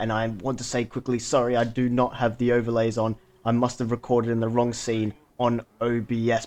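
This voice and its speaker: A man with a medium-pitched voice narrates his own sim race as running commentary. His intonation stays fairly level as he follows the action corner by corner, speaking as the player behind the wheel. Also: a little mellow. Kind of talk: race commentary over recorded gameplay.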